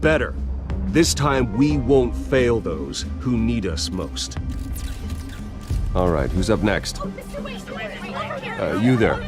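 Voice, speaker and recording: An earnest, guarded rich philanthropist in a video game. His low voice is measured and solemn yet expressive, with faint music behind it. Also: crowd noise, exaggerated voice. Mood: composed, polite. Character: earnest, guarded wealthy male philanthropist haunted by loss. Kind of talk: video game cutscene acting.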